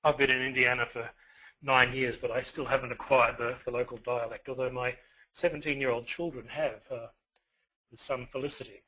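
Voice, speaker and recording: Husky Australian man giving a lecture. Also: medium pitch, steady tone, fairly quick, bright, forceful tone, rough recording. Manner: hesitant, many fillers. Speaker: guest lecturer. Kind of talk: online lecture.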